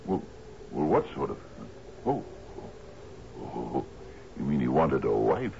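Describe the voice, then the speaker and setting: An actor playing a doddering, sentimental old island sea captain who stammers and repeats himself, in a 1970s radio drama. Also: male voice, a bass voice, sing-song, takes it slowly, gravelly, full character voice. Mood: puzzled. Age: older. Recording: dull, noisy old radio recording.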